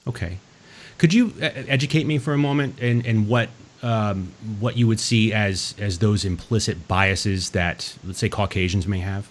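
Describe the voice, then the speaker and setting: A man interviewing a guest, asking his questions in a measured, hesitant way with many fillers. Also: fairly low pitch.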